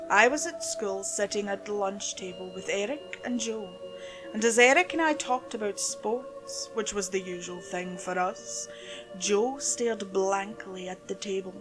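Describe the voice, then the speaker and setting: A woman's voice: mid-range voice, low-quality recording. A woman reading a scripted first-person creepypasta story as narrator. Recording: music behind the voice.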